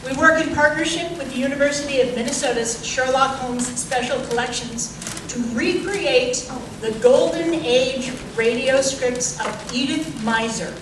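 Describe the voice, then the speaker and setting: A woman giving a live welcome speech to an audience as director of a theatre company. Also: high-pitched. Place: large echoey indoor venue with an audience. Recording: noisy, very echoey hall from far off.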